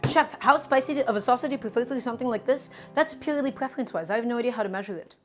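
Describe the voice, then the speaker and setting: A woman's voice: fairly high pitch, very fast, faint music behind. A woman whose first language is Russian, casually demonstrating a recipe in her home kitchen on a live stream and chatting with viewers as she works. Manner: chatty, answers viewer chat while cooking. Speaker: cooking streamer.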